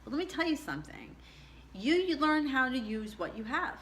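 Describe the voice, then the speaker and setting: A middle-aged woman with a low contralto voice telling a candid personal story in a chatty way, laughing often. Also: very animated, normal speed, fairly bright tone, a little hiss or hum. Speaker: vlogger.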